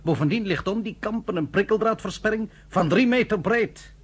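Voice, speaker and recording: An actor playing an emotional, proud Cypriot shipowner in a radio play, with a husky, theatrical delivery. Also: male voice, high-pitched, animated, moderate pace. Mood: earnest. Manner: theatrical, indignant, swings between soft and loud.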